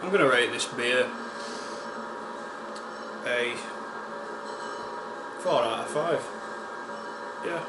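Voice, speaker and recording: West yorkshire bloke doing a chatty beer review, slow and easy, lots of ums, air con humming in an echoey room. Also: male voice, medium pitch, heavy background noise. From West Yorkshire, England. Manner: unhurried, chatty, with many ums and uhs. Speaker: beer reviewer, channel host. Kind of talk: casual beer-tasting vlog.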